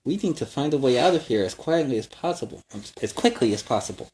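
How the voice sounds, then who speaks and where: A brisk, exasperated superhero spy woman, played by a man reading a storybook aloud. She is earnest and capable, explaining things to her partner. There is faint noise on the recording. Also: medium pitch, expressive, a little mellow, close to an everyday voice. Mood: urgent, explaining.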